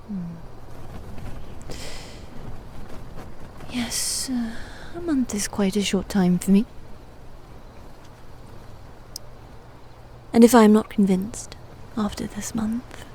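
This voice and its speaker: A woman playing a reserved, formal demon knight in a soft-spoken ASMR roleplay, guarded about love, speaking hesitantly and often close to a whisper. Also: medium pitch, slight background noise. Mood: cautious, formal.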